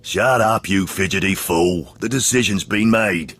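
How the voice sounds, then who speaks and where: A male actor playing a cynical, contemptuous jailer in a video game, with a gravelly voice and a dry delivery. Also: low-pitched, measured pace, fairly bright tone, heavily acted. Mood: irritated. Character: cynical, sneering male Imperial jailer presiding over prisoners. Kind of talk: video game cutscene acting.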